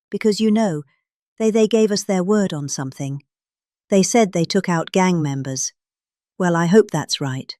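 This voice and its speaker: British woman with animated, swooping delivery. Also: mid-range voice, no echo, clean studio sound. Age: middle-aged. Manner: theatrical delivery with odd pauses and exaggerated emphases. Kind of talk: dramatic reading of a political speech transcript.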